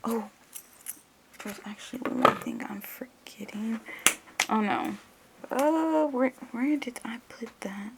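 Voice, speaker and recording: A woman's voice: on the high side, slow, vocal fry, pauses often, background noise. A woman doing a one-on-one ASMR role-play as an examiner, hesitant and muttering to herself, drifting between normal voice and whispery murmur.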